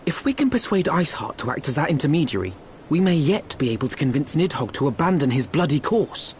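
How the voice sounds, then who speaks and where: An actor playing an earnest, courteous young diplomat in a fantasy game, with polite, eloquent, archaic speech. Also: male voice, a little high, big pitch swings, fast, a little hiss or hum. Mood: hopeful, earnest. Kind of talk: scripted fantasy game dialogue, archaic formal English.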